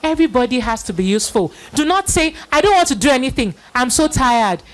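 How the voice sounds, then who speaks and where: A woman's voice: sits high, sing-song, quick pace. A middle-aged woman hosting a live children's Bible event, introducing and addressing people in an exhorting manner with repeated phrases.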